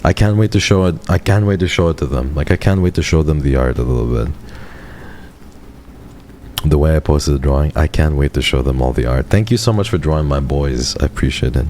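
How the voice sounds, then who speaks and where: A man in his twenties with an extremely low voice chats casually with his livestream viewers. He rambles warmly and often leaps upward in pitch when something excites him. A faint hiss sits under the desk-mic recording. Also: a little fast. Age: 20s. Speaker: VTuber streamer.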